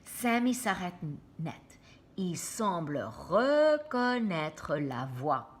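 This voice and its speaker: Slow-paced, expressive woman reading a storybook. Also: fairly low pitch. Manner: expressive, dramatic, addresses young listeners. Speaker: teacher reading aloud to children. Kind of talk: storybook read-aloud with explanatory asides.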